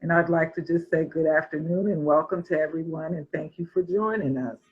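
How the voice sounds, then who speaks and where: Husky woman on a muffled call. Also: low voice, a little fast. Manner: announcing schedule, formal welcome. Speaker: student program manager. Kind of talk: webinar announcements. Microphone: video call.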